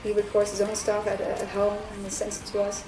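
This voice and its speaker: A woman's voice: medium pitch, completely flat delivery, fairly quick. A woman from the Netherlands with a Dutch accent and a light voice, chatting loosely in an interview with lots of fillers and restarts. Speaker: band vocalist. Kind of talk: casual interview answers. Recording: roomy, far from mic, faint noise.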